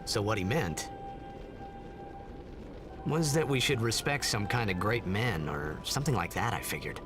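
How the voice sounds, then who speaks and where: Boyish, earnest young game hero, swinging pitch, music behind. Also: male voice, lively intonation, measured pace. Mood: reflective. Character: naive, earnest, cocky young man, displaced from his own world. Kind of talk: video game voice acting with reflective narration.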